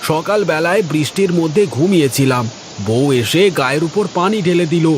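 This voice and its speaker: A man's voice: on the high side, fairly quick, a little hiss or hum, lightly acted. A man voicing a lazy, grumbling village husband in a cartoon, whiny, exclamatory and easily flustered. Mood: chatty. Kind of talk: Bengali cartoon dub dialogue.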